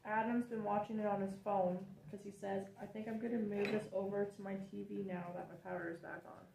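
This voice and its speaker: A woman's voice: mid-range voice, flat delivery, fairly quick, gravelly, dark tone. Woman reading out chat comments, echoey room, bit of background hiss. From Canada. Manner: brief, reads out comments. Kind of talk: casual livestream chat. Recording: echoey room with faint noise.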